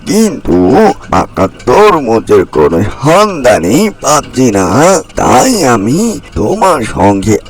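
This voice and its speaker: Male actor as restless crow, fairy-tale cartoon, unhurried pace, drawn-out exclamations, very animated pitch. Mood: busy, eager.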